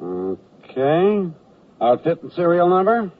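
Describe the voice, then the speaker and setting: An actor playing a belligerent, suspicious army gate guard with a barking, clipped delivery in old-time radio comedy. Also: male voice, on the high side, sing-song, slow pace. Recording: tinny, slightly noisy vintage radio recording.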